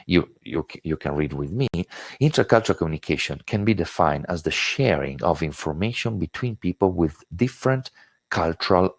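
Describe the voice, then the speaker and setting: A man with an Italian accent presents an online lecture, his very low voice thick with gravel and a husky edge. He hesitates often, filling gaps with ums and restarting his sentences. The sound is close and dry, with no room around it. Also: no room sound. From Italy. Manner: hesitant, many fillers and restarts. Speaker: webinar presenter.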